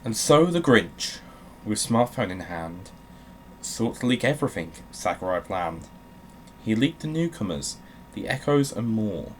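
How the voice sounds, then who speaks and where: Low-voiced man doing game commentary, noisy recording. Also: very animated, measured pace. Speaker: gaming video host. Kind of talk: casual game commentary with dramatic readings.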